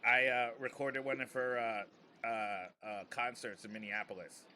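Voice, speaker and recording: A man's voice: mid-range voice, steady tone, measured pace, noisy background. A man who works as a comedian, hosting a casual podcast chat in a rambling, joking, slangy way.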